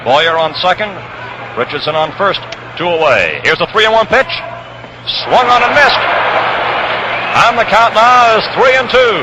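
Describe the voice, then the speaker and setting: An American man calling baseball play-by-play on 1960s radio, measured, with suspenseful pauses, on a noisy old broadcast recording. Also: high-pitched, lo-fi sound. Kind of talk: 1960s radio sports commentary. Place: ballpark broadcast position. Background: crowd hum, noise.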